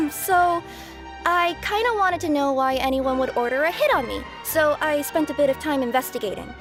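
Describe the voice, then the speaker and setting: A cheerful young cat spirit who delivers parcels, often hesitating and sheepish. Her voice is high and light, and game music plays behind her. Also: animated, normal speed. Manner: hesitant, sheepish, with many filler sounds. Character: young female cat yokai courier, cheerful but nervous. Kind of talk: game voice acting. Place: recording studio.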